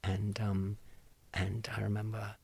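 A man, telling lively anecdotes with frequent stammered restarts. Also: lowish voice, unhurried, creaky, slight background noise. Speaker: guest telling stories. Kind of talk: animated anecdote, acting out voices.

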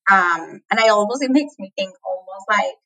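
A woman's voice: mid-range voice, animated, moderate pace, sharp tone, low-quality recording. A woman from Colombia with a Colombian accent, a native Spanish speaker, talking reflectively as an interview guest and laughing often. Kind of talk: conversational interview.

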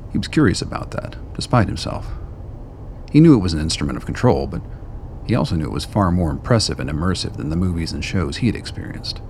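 A man narrating a scripted radio drama in a measured, wry storytelling manner. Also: sits low, a little mellow, a little hiss or hum.

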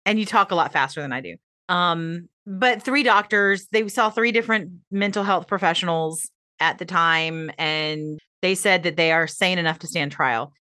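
Woman co-host, lowish voice, bright tone, dry close sound, easygoing storyteller. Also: moderate pace, hardly any pauses, no echo. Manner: relaxed storytelling, laughing at herself. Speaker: podcast co-host. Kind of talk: casual chat, telling a true-crime story.

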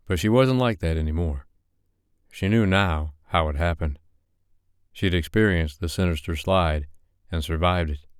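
A man narrates a romance audiobook in measured, deliberate phrases. His voice is very low and smooth, recorded dry and close, with every word crisp. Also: no room sound. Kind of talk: scripted romance novel narration.

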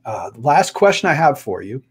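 A man's voice: mid-range voice, big pitch swings, normal speed, slightly rough. A man hosting a live online interview, speaking in a friendly way and reading out viewer comments. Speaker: host.

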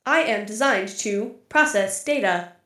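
A woman playing a literal-minded female AI archive computer in an audio drama, speaking in a flat, formal, deliberate way. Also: mid-range voice, roomy sound. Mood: impassive, matter-of-fact. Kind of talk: scripted audio drama acting.

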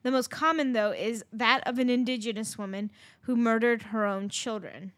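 Young woman, podcast co-host, higher bright voice, dry close mic, chatty with ums. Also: steady tone, normal speed, no room sound. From Southern California. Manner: chatty, many ums, swearing. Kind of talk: casual storytelling chat.

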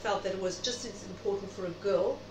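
A woman's voice: mid-range voice, a little fast. A middle-aged South African woman speaking reflectively and chattily as a guest in an interview. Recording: noisy, echoey room, distant mic.

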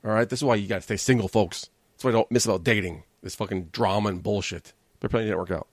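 A man's voice: fairly low pitch, fairly even intonation, rapid-fire, no echo. Chatty New man hosting a podcast. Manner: chatty, self-deprecating, joking asides to listeners. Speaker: podcast host. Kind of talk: casual solo podcast monologue.

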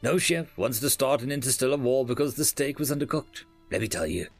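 Man voicing a chatty, animated older chef. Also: medium pitch, fairly quick, faint music behind, close to an everyday voice. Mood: nervously emphatic. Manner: emphatic, chatty, hesitant. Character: talkative, nervous male head chef in late fifties. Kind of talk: audiobook character dialogue.

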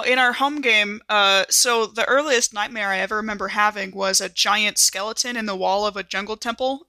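Bright-voiced woman chatting at a game table. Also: medium pitch, no echo. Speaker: tabletop role-playing player. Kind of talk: casual game-table chat.

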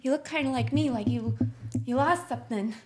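Radio comedy: woman as lonely barfly, high voice, halting and oversharing. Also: darkish tone, slight background noise, close to an everyday voice. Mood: forlorn. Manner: rambling, halting. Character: lost, oversharing jilted female barfly. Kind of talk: improvised comedy radio play.

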